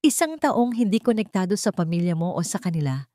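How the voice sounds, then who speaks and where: A woman's voice: lowish voice, very animated, fairly quick, mellow, dry recording, studio quality. Woman reading as a guarded, urgent protector. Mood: probing. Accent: Filipino. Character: guarded, urgent protector helping a hunted friend.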